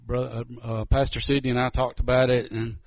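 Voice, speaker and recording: A man speaks haltingly to a church crowd, often stopping and restarting his sentences. His voice sits at a middling pitch. The recording is dry and muffled, with a lo-fi sound. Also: flat delivery, no room sound. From Georgia, US. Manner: nervous, halting, many restarts. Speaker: missionary. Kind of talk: church testimony talk.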